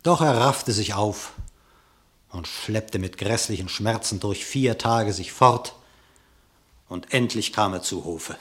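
A man narrating a verse fable theatrically, with dramatic, expressive declamation. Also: lowish voice, slow. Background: music.

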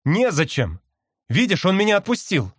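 A man's voice: high-pitched, wildly swooping pitch, normal speed, breathy edge, no room sound, studio quality, pitched above the performer's own voice, heavily acted. A man voicing an anxious, trusting young male magpie in an audiobook, calling out to plead and reassure. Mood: protesting. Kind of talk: dramatized audiobook character.

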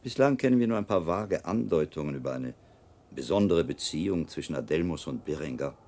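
An actor playing a shrewd, calm monk investigator in a radio drama, deliberating analytically as a mentor. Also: male voice, extremely low pitch, fairly quick, full character voice. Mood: pensive, probing.

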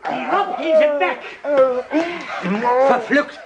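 An actor playing a vengeful farmer in a radio play, shouting orders and gloating over a captured man. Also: extremely high pitch, takes it slowly, creaky, dull audio, noisy background, heavily acted. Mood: furious triumph.

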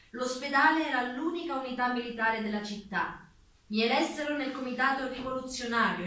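Female stage narrator, declamatory and bright, reading aloud in a very reverberant room with faint noise. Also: fairly high pitch. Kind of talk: theatrical narration and document reading. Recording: very echoey room, distant mic, faint noise.

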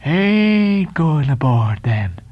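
A man voicing an uncanny, cracked old sailor-prophet in an audiobook, teasing and cryptic, with drawn-out repetitions in seaman's dialect. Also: fairly high pitch, very animated, no room sound, dull audio, exaggerated voice. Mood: eerie, needling.

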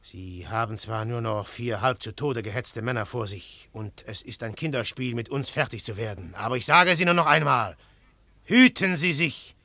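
An actor narrating a radio play as a hunted male detective, husky and grave. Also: mid-range voice, moderate pace, pushed and bright. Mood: defiant. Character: hunted male detective telling his story. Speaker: first-person narrator and detective Syme.

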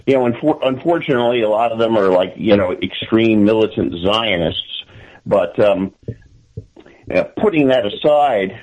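An American man with a classic radio-style voice, speaking conversationally as an interview guest and often haltingly repeating and restarting his phrases. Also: lowish voice, lively intonation, darkish tone, low-quality recording.

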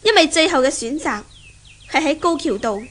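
A woman's voice: high voice, lively intonation, normal speed, fairly bright tone. An actress playing a stubborn, principled young woman in a 1970s radio drama, speaking earnestly and firmly with a Hong Kong Cantonese accent. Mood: indignant. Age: young adult. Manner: earnest, righteous, firm.

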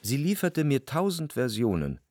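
An actor playing a jealous, introspective gentleman who narrates a literary radio drama in a reflective, intimate way, tender yet analytical. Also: medium pitch, huge pitch swings, moderate pace.

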